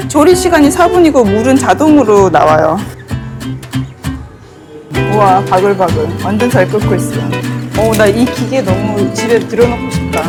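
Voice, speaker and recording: A middle-aged woman from Busan with a Busan dialect accent, chatting casually as a travel vlogger outdoors, exclaiming often. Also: medium pitch. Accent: Busan Gyeongsang dialect. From Busan, South Korea. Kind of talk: casual vlog chat. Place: outdoors by a river and in a convenience store. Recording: background music throughout.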